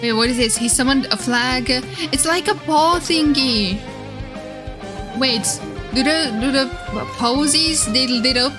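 High-voiced female streamer, excitable live reactions, repeats herself when flustered, music underneath. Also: measured pace. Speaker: VTuber streamer reacting to game trailers. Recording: game trailer music behind voice.

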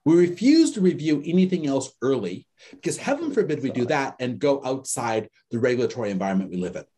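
A man teaching an online class in a lively, conversational way, telling stories and acting out the people he quotes. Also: mid-range voice, fast. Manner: animated storyteller, acts out quoted people. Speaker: course instructor.

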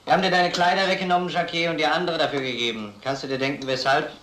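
An actor playing a methodical police detective in a crime radio drama, questioning in a measured, insistent way. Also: male voice, on the high side, steady tone, fairly bright tone, echoey room, slight background noise, lightly acted. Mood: probing. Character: probing, methodical male police detective interrogating a suspect.

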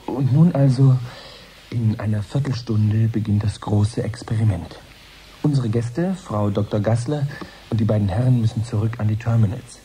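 An actor playing a courteous, formal presenter hosting a live science broadcast in a radio drama. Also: male voice, lowish voice, moderate pace, mellow, a little hiss or hum, lightly acted, poor audio. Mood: polite, composed. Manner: polite, formal, orderly.

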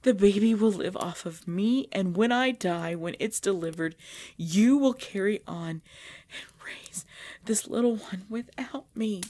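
A woman playing a proud, pregnant vampire leader in pain, speaking formally and dramatically in an ASMR roleplay with breathy drops toward a whisper. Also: mid-range voice, mellow, lightly acted. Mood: pleading, weary. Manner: slow, formal, dramatic, often dropping to whispers.

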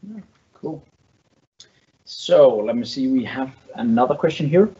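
A man with a mid-pitched voice relays questions as a casual host. He halts and rephrases partway through his sentences. The recording is dull and a bit muffled. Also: lively intonation, dark tone. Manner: halting, rephrases questions midway. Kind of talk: casual, unscripted interviewing.

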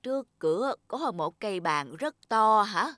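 A woman voicing a caring older cat in a children's cartoon, gently advising the young. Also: medium pitch, expressive, a little slow, no echo, clean studio sound. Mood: kindly, concerned. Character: kindly older cat, caring auntly or uncle-like helper. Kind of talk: dubbed children's cartoon dialogue.

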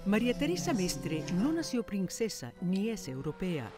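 A woman's voice: mid-range voice, sing-song, moderate pace. A middle-aged woman presenting a television news magazine with scripted broadcast narration. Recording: music bed under studio voice. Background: background music.

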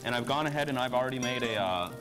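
A man in his thirties, a chef calmly explaining a cooking demonstration step by step in a studio kitchen. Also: mid-range voice, very fast, over music. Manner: easygoing, agreeable, explains step by step.

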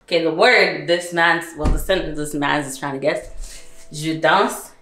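A young woman whose first language is French, co-hosting a couple's game at home, animated and teasing. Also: low voice, moderate pace, reverberant, recorded from a distance. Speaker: co-host of a couple channel. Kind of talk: playful casual chat.

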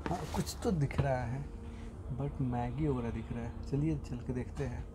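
Young man, travel vlogger, casual rambling commentary, mid-pitched voice, phone recording in a train, noticeable background noise. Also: moderate pace. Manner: chatty, thinking aloud with frequent fillers and restarts. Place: inside a train compartment at a station, by the window. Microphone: phone camera held near the window. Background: station and train ambience.

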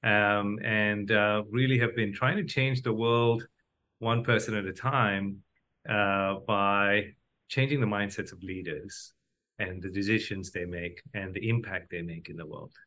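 Bright, lowish-voiced Malaysian man. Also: steady tone, a little slow, a little hiss or hum. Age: middle-aged. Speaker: podcast guest, doctor turned entrepreneur. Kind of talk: conversational interview answers.

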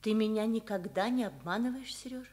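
A woman plays a proud, loving mother in a high voice with lively, swooping intonation. She speaks gently and expressively, in the style of Soviet radio theatre. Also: brisk, close to an everyday voice. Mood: gently probing. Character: proud, calm, loving mother of a teenage son. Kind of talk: Soviet radio play acting.

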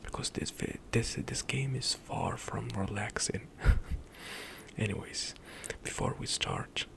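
Young male ASMR gamer, slow gravelly whisper, close-miked. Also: on the low side, very animated. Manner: soft whispered rambling with light laughs. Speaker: ASMR gaming streamer. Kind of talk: whispered ASMR gameplay commentary. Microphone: close studio mic, headset on.

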